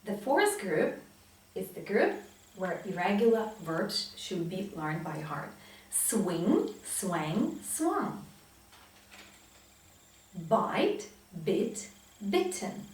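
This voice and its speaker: A woman in her thirties giving a pronunciation lesson as a teacher, enunciating example words deliberately and emphatically. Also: mid-range voice, very animated, darkish tone, slight background noise. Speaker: English teacher. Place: small indoor classroom with whiteboard. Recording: very echoey room, distant microphone.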